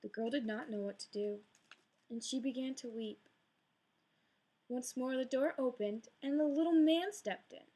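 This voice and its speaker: Expressive woman reading a fairy tale. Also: high-pitched, moderate pace, pauses often, slightly rough. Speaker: storyteller. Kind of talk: read-aloud storybook narration.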